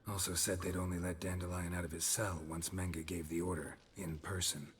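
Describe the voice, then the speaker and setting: An actor playing a stoic, world-weary monster hunter in a video game, terse and deadpan. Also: male voice, deep voice, a little fast, breathy edge. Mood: terse, subdued.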